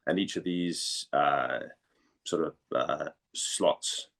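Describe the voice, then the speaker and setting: Low-voiced man, halting and casual. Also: fairly bright tone. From Denmark. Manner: hesitant, halting, repeats words while thinking. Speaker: voice actor, interview guest. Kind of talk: casual interview chat.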